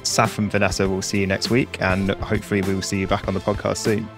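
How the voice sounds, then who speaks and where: A male reporter hosting a podcast, talking quickly and casually with lots of fillers. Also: low voice, faint music behind. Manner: quick and relaxed, many fillers like "um" and "like". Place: home office near London, dry sound.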